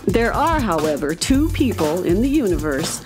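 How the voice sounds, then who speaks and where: A woman narrating a scripted children's radio story in an animated storytelling manner. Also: lowish voice, moderate pace, music in the background.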